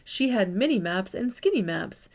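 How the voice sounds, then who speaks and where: A woman reads a children's picture book aloud, her voice at a middle pitch. She tells it expressively, leaning into the rhythm and sound of the words for young listeners. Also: moderate pace. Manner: warm, expressive storytelling with lively emphasis. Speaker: children's story reader.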